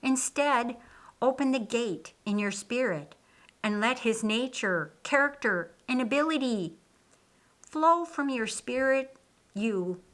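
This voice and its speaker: A woman voicing a calm, assured male religious teacher in an audiobook, speaking deliberately and stressing key words. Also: a little high. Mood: solemn teaching. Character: Jesus, a man, calm, assured, kindly teacher. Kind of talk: audiobook character dialogue.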